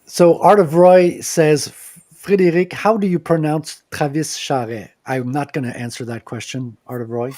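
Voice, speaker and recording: A man's voice: on the high side, fairly even intonation, moderate pace, few pauses, a little mellow, no room sound. A man in his late forties from Quebec with a French-Canadian accent, chatting animatedly as a podcast guest and laughing easily. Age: 40s. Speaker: guest comic creator. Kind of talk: casual podcast chat.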